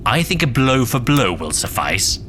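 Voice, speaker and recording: A man's voice: mid-range voice, big pitch swings, normal speed, over loud music, pitched above the performer's own voice, natural voice. Man acting a sneering, swooping young nobleman. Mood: gloating, cruel. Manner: sneering, imperious. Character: haughty, cruel young nobleman, vile and vengeful.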